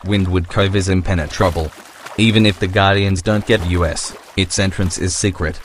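A man voicing a stern, threatening pirate slaver in a motion comic, in a gruff, menacing manner. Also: deep voice, steady tone, moderate pace, slight background noise, natural voice. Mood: stern, wary. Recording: background music under the voice.

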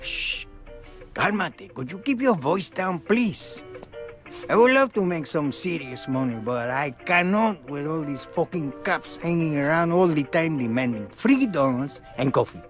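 A man's voice: a little high, lively intonation, measured pace, faint music behind. A man playing a nervous, fussy, greedy donut shop owner in a video game. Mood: anxious, hushed. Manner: fussy, hushing, pleading. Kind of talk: video game cutscene acting.

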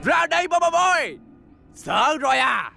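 A bossy alien villain from a cartoon. The voice is high and gravelly, swinging wildly as it scolds and threatens with short-tempered scorn. Music plays behind it. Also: big pitch swings, measured pace, exaggerated voice. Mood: menacing, impatient. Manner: shouting, impatient, scornful. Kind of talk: animated cartoon dub.

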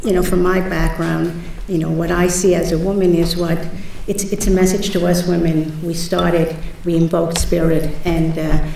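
An older woman speaks slowly and haltingly, reaching for each word. Her voice sits a little low. She is heard from a distance in a big echoing lecture hall, with a faint hiss underneath. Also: level tone, creaky, mellow. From South Bronx, New York. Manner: halting, searching for words. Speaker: panelist. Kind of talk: panel talk. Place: large lecture hall at a panel table. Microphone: table mic on a stand. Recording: echoey hall, mic from far off.

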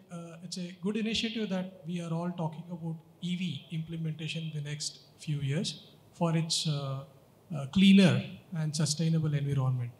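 A man stands in the audience of a conference hall and puts a question to the panel, his voice fairly high for a man. He asks politely and earnestly into a handheld microphone. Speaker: audience member asking a question.

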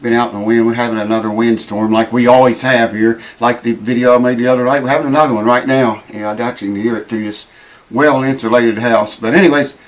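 Raspy, hoarse man. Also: medium pitch, fairly even intonation, fast talker. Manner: rambling, folksy, swears casually. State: raspy, losing his voice. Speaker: guitar repair vlogger. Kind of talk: casual workshop chat to camera. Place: small cluttered home workshop with mounted animal heads, indoors.

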